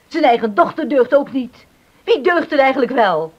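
A woman's voice: sits high, lively intonation, normal speed, highs cut off. An actress playing an outspoken, protective middle-aged farm mother in a radio drama, with an emphatic, plain-spoken manner. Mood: indignant, firm. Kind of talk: radio drama acting in 1989.

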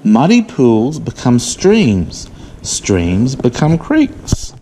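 A woman with a very deep voice reads a children's storybook at an unhurried pace. Her delivery is measured but lively in intonation, slipping into casual questions for a small child. It is a home camera recording with some room noise. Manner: measured storybook phrasing, asking simple questions. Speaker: parent reading aloud to a small child. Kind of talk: picture-book reading mixed with casual chat to a child. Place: living room indoors.

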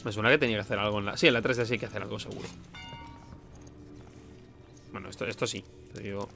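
A man's voice: lowish voice, steady tone, quick pace, gravelly, sharp tone, faint music behind. A man with an accent from Spain giving casual, sarcastic gameplay commentary as a streamer. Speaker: video game streamer.

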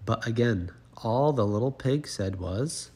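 A man reads a children's story aloud with expressive, scripted narration. His delivery is unhurried and highly varied in pitch, and his voice has a husky grain.

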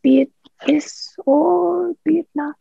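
A woman's voice: high-pitched, steady tone, takes it slowly, creaky, dark tone, no room sound, lo-fi sound, exaggerated voice. A young woman playing a sly, commanding male pirate in a radio play, speaking in salty seafaring dialect. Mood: wheedling. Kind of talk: stage-style radio play acting.

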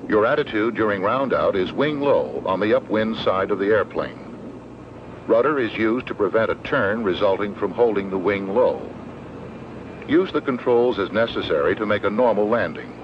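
Low-voiced American training film narrator, male. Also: lively intonation. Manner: measured, matter-of-fact, formal. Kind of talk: scripted instructional narration, 1970s training film. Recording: dull, noisy old 16mm film soundtrack.

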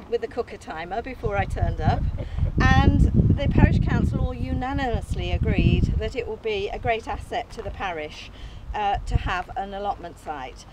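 A woman's voice: mid-range voice, background noise. An older woman delivering an earnest, modest opening speech outdoors from notes, with small hesitations and light laughs, picked up by a distant camera. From England. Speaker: allotment group founder giving an opening speech. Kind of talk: prepared speech read from notes. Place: outdoors on an open field site. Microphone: camera at a distance, no mic.

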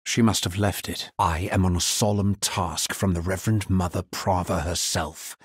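An actor playing a grave, solemn necromancer hero in a fantasy video game, speaking in a measured, formal manner. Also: male voice, low voice, steady tone, slightly rough, dry recording, studio quality. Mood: grave, restrained. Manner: measured, somber, formal delivery. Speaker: video game player character. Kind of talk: scripted fantasy game voice lines.

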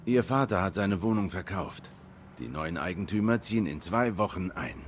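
Deep-voiced brooding detective tomcat. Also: male voice, expressive, moderate pace, slight background noise. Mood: sober, probing. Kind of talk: noir game dialogue acting.

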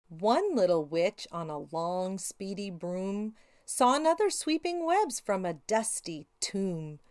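A middle-aged woman hosting children's storytime, animated and sing-song, speaking directly to young listeners. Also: medium pitch, echoey room. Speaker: children's read-aloud show host. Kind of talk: children's storytime presenting and picture-book reading.

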